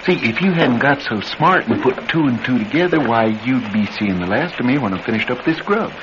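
A man's voice: medium pitch, expressive, fairly quick, close to an everyday voice. Man playing a cocky Southern escaped convict, noisy recording. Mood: menacing. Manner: folksy drawl, taunting and boastful. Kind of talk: radio drama acting. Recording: noisy, dull old radio drama recording.

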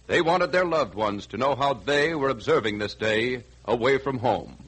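A male American announcer with a husky voice at a middle pitch. He delivers formal, precise station announcements in the manner of 1940s network radio, heard on a grainy old broadcast. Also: moderate pace, fairly bright tone, poor audio.

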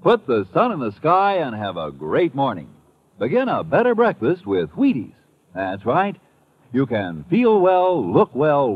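A man's voice: medium pitch, husky. Swooping, punchy male radio ad announcer. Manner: punchy, emphatic sales delivery. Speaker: commercial announcer. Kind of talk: upbeat 1950s radio advertising pitch. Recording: thin phone-like old radio recording.

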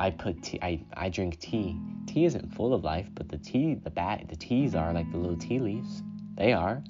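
Man, very low voice, calm and unhurried, solo podcast host, dull lo-fi sound, guitar music behind. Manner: calm, unhurried, frequent "you know". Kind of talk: solo self-care podcast monologue.

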